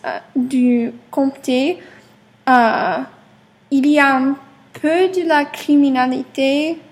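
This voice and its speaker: A young woman with an American accent and English as her first language, giving an informal presentation haltingly as a language learner, searching for words. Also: high-pitched, rough recording. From Cortland, New York, United States. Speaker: French learner.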